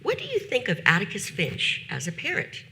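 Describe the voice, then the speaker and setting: Gravelly-voiced woman teacher giving a talk. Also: mid-range voice, wildly swooping pitch, pushed and bright, a little hiss or hum, rough recording. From United States. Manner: measured, deliberate delivery with slowed emphasis on key phrases. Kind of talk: prepared conference talk.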